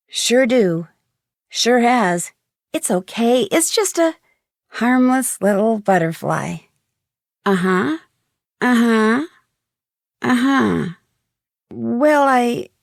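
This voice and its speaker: A woman's voice: fairly high pitch, gravelly, no room sound, clean studio sound, heavily acted. An actress playing a polite, determined young woman detective in a video game, speaking in an earnest way. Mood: agreeable, then hesitant, puzzled. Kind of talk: acted video game dialogue lines.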